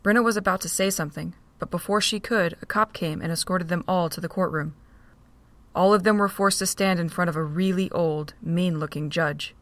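Steady woman narrating a fan drama. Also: on the low side, brisk, dry recording. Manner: steady reading. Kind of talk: storybook narration.

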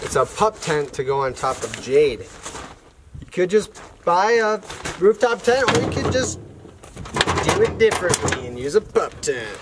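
Young man, vlogger, casual slangy chat, laid-back, higher voice, noisy outdoor recording. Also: sing-song, a little slow, pauses often. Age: young adult. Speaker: vlogger, show host. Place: outdoors in a dirt yard by garages. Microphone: handheld camera at arm's length. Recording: noisy outdoor camera audio with vehicle noise.

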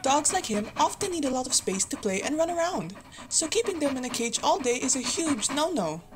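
Cheerful talking puppy guide for a kids' cartoon, woman voice actor; high, very animated delivery. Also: a little fast, noisy background. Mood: friendly. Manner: cheerful, explaining patiently. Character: friendly talking puppy mentor, male. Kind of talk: student animated comic voice acting.

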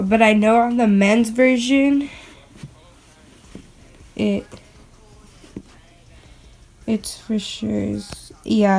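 Slow, hesitant young-sounding female reviewer. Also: medium pitch, vocal fry, dark tone, low-quality recording. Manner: hesitant, halting, informal. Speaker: sneaker reviewer. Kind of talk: casual unscripted product review. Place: bedroom at home. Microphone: handheld phone or camera. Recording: clicks and light background noise.

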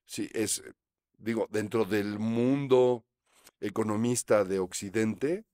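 A Mexican man in his fifties, a guest on a studio interview, telling stories in a relaxed, reflective way, speaking into a close studio microphone. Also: fairly low pitch, slow, dry recording. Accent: Mexican Spanish. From Mexico City. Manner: reflective, gestures while talking, frequent fillers. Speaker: actor guest. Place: small studio set. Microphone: studio mic on boom arm.

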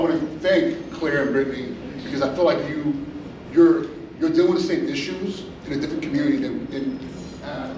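A middle-aged man from California, a school principal giving an animated conference talk with many restarts and fillers. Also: fairly high pitch. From Inglewood, California. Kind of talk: conference presentation. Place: large hotel meeting room. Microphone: far camera, no mic. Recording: echoey room from far off, audible noise.

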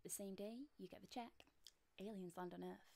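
A young woman playing a domineering, sarcastic high-school queen bee in a soft-spoken, often whispered ASMR roleplay, close on the mic. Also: steady tone, moderate pace, gravelly. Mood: bossy, condescending. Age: young adult.

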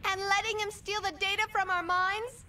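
Very high-voiced emotional anime android girl. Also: level tone, moderate pace, bright, forceful tone, heavily acted. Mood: anguished. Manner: emotional, plaintive. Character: emotional young android girl, devoted and guileless. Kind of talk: English anime dub acting. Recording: distant voice under music.

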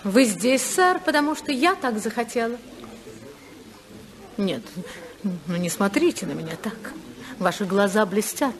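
An actress playing a poised, teasing, rebellious society hostess in a 1950s radio drama. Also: female voice, mid-range voice, animated, measured pace, pauses often, lightly acted. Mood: teasing, intense. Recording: 1950s radio, chattering crowd behind.

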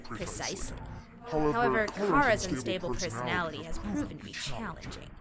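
A woman's voice: lowish voice, very animated, a loud crowd. A woman playing a sinister, grandiose, contemptuous villain, the split-off half of a monster scientist, in a dramatic comic dub. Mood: scornful, commanding. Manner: grandiose, contemptuous, drawn-out theatrical delivery. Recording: loud music over the voice.

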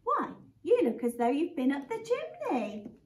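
A woman playing a patient mother bear from a picture book, mildly scolding and fond, her voice high and lively. She speaks slowly and a little off the mic. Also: a little hiss or hum, full character voice.

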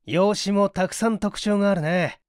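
A man voicing a methodical gentleman detective in an audiobook, assured and analytical as he explains. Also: high voice, normal speed, smooth, no room sound, clean studio sound, lightly acted. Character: confident, methodical gentleman detective in a mystery story.

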